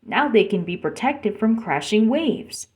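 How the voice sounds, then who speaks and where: A woman's voice: on the high side, animated, unhurried, a little mellow. A woman playing a kind, considerate young prince in a storybook read-aloud.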